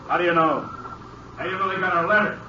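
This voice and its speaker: A man's voice: high-pitched, fairly even intonation, racing, distant mic, natural voice. An actor playing a jittery, belligerent, hot-tempered outlaw gunman in a 1950s radio western, agitated and quarrelsome. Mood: nervous. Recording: dull, echoey, noisy old radio recording.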